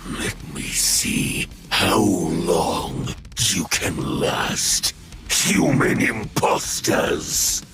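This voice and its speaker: An actor playing a sadistic monster machine in a video game, drawing out words in a gloating, theatrical way with gravel and breathy hisses. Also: male voice, a bass voice, exaggerated voice. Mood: sadistic ecstasy. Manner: slow, drawn-out, gloating, theatrical delivery. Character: sadistic male monster machine, menacing, theatrical, relishes pain. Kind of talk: dramatic video game voice acting. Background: music.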